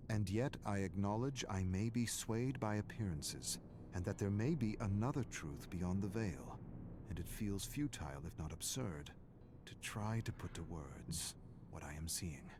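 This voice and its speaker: A weary, brooding scholar narrates his journal from a foggy prison in a video game. His voice is low and his delivery is measured, formal and solemn. Music plays clearly behind him.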